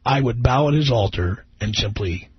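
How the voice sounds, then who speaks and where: A man's voice: mid-range voice, animated, normal speed, husky, dry recording. A middle-aged man hosting a live radio talk show, brash and joking, teasing his own studio staff. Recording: dry, dull radio broadcast.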